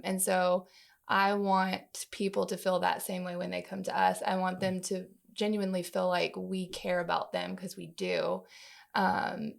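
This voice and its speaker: A woman's voice: on the low side, gravelly. A woman answering questions conversationally as a podcast guest, in an informal, unpolished way. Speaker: podcast guest, marketing manager.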